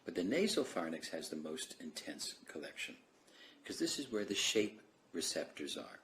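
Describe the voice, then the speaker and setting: A man's voice: low-pitched, very animated, normal speed, a bit bright, slight background noise. A man presenting an explanatory lecture, laying out his points step by step.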